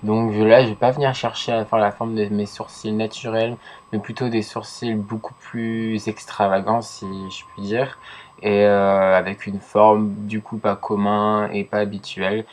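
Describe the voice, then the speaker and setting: A man's voice: fairly low pitch, flat delivery, measured pace, slight background noise. A young man with a head cold talking through a casual makeup tutorial, hesitant and full of fillers. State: congested with a cold. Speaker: makeup vlogger.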